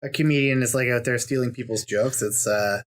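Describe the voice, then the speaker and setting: A man's voice: medium pitch, steady tone, fairly quick. A man who is a guest on a podcast, chatting casually and thinking aloud with hesitant starts.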